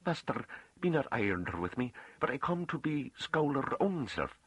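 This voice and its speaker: A man voicing a timid yet fierce young peasant farm woman for an audiobook, breathy and earnest, in rustic broken speech. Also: mid-range voice, big pitch swings, moderate pace, a bit bright, no echo, voice pushed up, poor audio.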